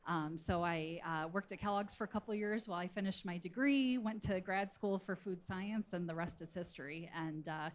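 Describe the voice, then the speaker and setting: Lower-pitched woman explaining on a panel. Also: normal speed, few pauses. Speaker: panel guest, food scientist.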